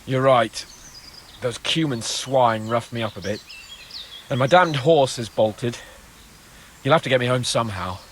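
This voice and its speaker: A man's voice: medium pitch, unhurried, long pauses, a bit bright, slight background noise, natural voice. A man playing a boastful, pompous young nobleman in a medieval video game cutscene, mocking and full of theatrical exclamations. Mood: playful bluster.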